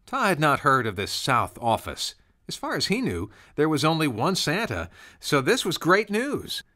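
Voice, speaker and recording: A man narrating a scripted children's story in an expressive, conversational way. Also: fairly high pitch, moderate pace. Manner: conversational, expressive, rhetorical questions.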